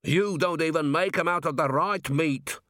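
A man's voice: fairly high pitch, moderate pace, fairly bright tone, dry recording, clean studio sound, voice pushed up, natural voice. A man voicing grumbling, accusing dwarf customers in an audiobook. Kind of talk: audiobook character voice.

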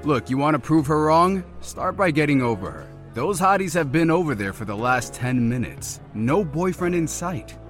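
A man's voice: on the high side, very animated, moderate pace, hardly any pauses, soft background music. A man playing a blunt, teasing young best friend in an audio drama, sarcastic in manner. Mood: blunt, goading. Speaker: voice actor.

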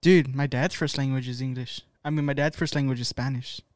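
A young man streaming casual chat, teasing his friend with jokes and loud swings in volume. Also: mid-range voice, steady tone, fairly quick, dry recording.

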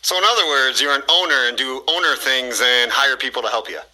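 Man, interviewer. Sharp, forward tone at mid pitch, conversational questioning. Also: brisk, rough recording.

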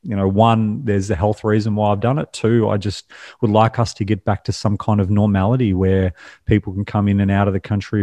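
Low, mellow Australian man. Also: dry recording. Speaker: podcast guest. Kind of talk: casual conversational interview.

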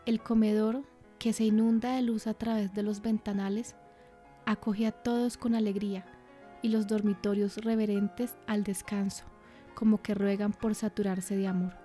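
A woman plays an ardent, dreamy lover in a radio drama, voicing a poetic letter. Her tone is soft-edged and mellow, lyrical in phrasing, with music underneath. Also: medium pitch, level tone, moderate pace, close to an everyday voice. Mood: dreamy, enamored.

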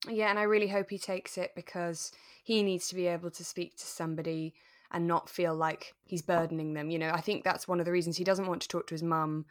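A woman's voice: fairly low pitch, fairly even intonation, fairly quick. A woman co-hosting a podcast, chatting casually with an earnest, enthusiastic manner. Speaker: podcast co-host. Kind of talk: casual chat about a radio drama.

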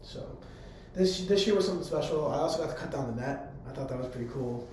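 Hoarse young man in an echoey room. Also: mid-range voice, fast talker, darkish tone. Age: young adult. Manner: eager, rambling, filler-heavy. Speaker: college public address announcer. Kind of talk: casual interview answers. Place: room on a college campus. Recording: very echoey room, faint background hum.

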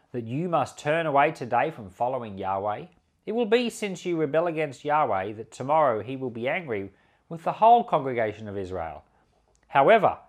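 A man reading the Bible aloud as a preacher, in solemn, deliberate, emphatic short phrases. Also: medium pitch.

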